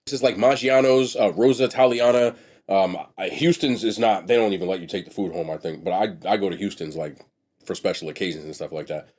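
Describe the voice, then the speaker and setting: A man's voice: sits low, a little fast, darkish tone. A young man reviewing food on camera, with a congested, sniffly nasal voice, talking bluntly and chattily and trailing off into restarts. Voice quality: nasal from a blocked nose. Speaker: food vlogger. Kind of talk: casual mukbang food review.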